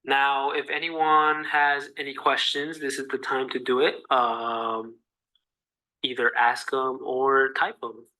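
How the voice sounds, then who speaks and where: A young man from Mexico with a Mexican accent, earnestly giving a class presentation in a halting way over a video call. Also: mid-range voice, little pitch movement, bright, forceful tone, low-quality recording. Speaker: student presenter.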